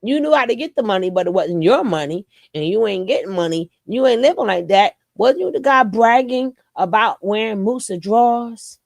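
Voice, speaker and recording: A woman's voice: medium pitch, lively intonation, normal speed, gravelly, few pauses, no room sound, studio quality. A woman giving casual reaction commentary, stuffy from a cold, mocking and teasing in slangy talk. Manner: mocking, laughing, slangy, sing-song teasing.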